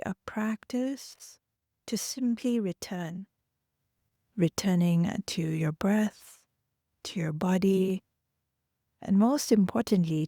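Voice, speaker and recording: Slow, mellow woman guiding gentle relaxation. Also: mid-range voice, no echo. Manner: gentle, soothing, often trailing into breathy near-whisper. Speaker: yoga nidra instructor. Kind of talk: guided relaxation and breathwork instruction. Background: soft music.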